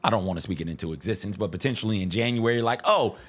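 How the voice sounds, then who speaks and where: A man's voice: lowish voice, racing, a bit bright. A man giving casual, emphatic sports commentary as a talk show co-host, repeating points for stress.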